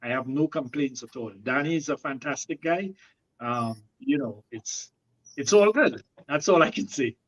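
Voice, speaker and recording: A man in his late fifties from Trinidad, a guest in a casual chat who talks in an easygoing way with many pauses and fillers. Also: medium pitch, highs cut off. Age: 50s. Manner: relaxed, hesitant with many fillers.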